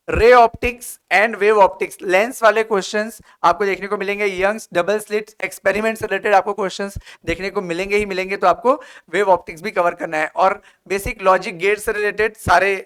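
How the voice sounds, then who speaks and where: A man with an Indian accent and a high, clear, smooth voice gives an exam-prep lecture. He explains things step by step like a coaching teacher, instructing directly and often pausing on small check-in questions. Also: fairly bright tone. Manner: instructive, frequent check-in tags like "okay?" and "right?".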